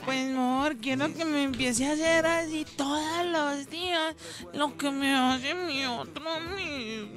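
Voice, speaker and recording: A woman's voice: high voice, a little slow. A woman playing a childish, pouty girlfriend in a radio comedy sketch, with a Colombian accent and whiny baby talk. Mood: pouty, wheedling. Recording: music playing behind.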